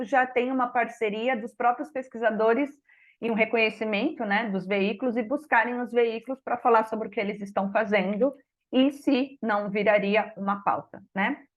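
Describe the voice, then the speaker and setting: A woman's voice: on the high side, muffled recording. A woman with a Brazilian Portuguese accent presenting research at a conference, explaining it in an informal, spoken way with frequent tag questions. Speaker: academic researcher presenting a study.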